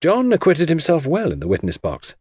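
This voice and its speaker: Animated male audiobook narrator, slightly husky. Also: fairly high pitch, fairly quick, dry recording. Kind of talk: scripted narration of classic crime fiction.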